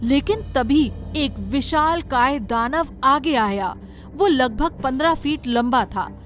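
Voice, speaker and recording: Slow, high-voiced woman story narrator. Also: expressive, soft background music. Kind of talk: scripted dramatic story narration.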